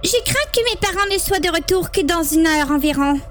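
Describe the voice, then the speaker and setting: A woman's voice: extremely high pitch, brisk, fairly bright tone, soft background music. A woman voicing an anxious but eager teenage girl in an amateur horror story dramatization. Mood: polite, uneasy.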